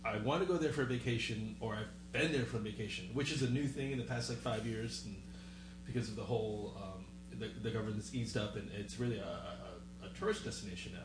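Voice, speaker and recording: A man from Vietnam in casual chat, telling stories in an animated way, his voice leaping up when he is exasperated. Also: fairly quick. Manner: animated storyteller, voice jumps high when exasperated. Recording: roomy with clear background hum.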